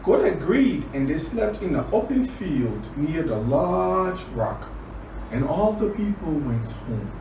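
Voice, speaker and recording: A man from Liberia telling a children's story and teaching words, deliberate, repeating phrases for emphasis. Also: a little high, very animated. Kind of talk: children's storytelling and word lesson. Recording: roomy, distant, clearly noisy recording.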